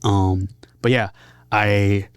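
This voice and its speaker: A low-pitched man talks candidly as a podcast guest. He is earnest and open, moving through short, halting phrases. The sound is close and dry. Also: steady tone, clean tone, dry recording. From San Diego area, United States. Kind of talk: casual, candid interview talk.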